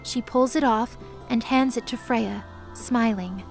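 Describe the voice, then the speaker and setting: A woman narrator reading scripted fantasy in a measured storytelling manner. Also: fairly high pitch, creaky, soft background music.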